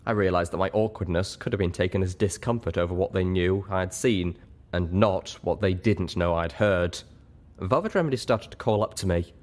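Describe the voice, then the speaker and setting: A man's voice: low voice, normal speed, darkish tone. A man narrating a first-person story expressively, as an audiobook reader.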